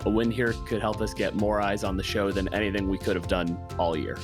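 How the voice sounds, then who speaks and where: Male game master and show co-host, fairly low voice, casual narrating style, music clearly underneath. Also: flat delivery, brisk.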